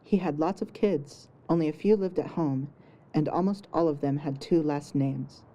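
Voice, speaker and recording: A woman's voice: low voice, normal speed, dark tone. A woman reading scripted fiction narration as the storyteller of an audio story.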